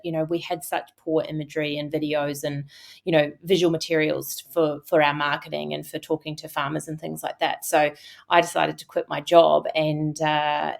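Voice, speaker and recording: A woman's voice: low-pitched, creaky. A woman from New Zealand with a Kiwi accent, talking casually as a podcast guest in a studio, with many fillers and restarts. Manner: chatty, frequent fillers and restarts. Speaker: podcast guest, agricultural photographer. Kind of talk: casual interview talk.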